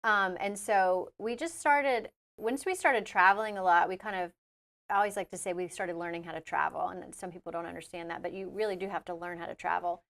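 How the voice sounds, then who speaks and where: A woman's voice: fairly low pitch, brisk, vocal fry, fairly bright tone. A woman being interviewed on a podcast, talking casually and a little hesitantly. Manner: hesitant, lots of fillers and restarts, laughs easily. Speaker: guest, coffee shop owner.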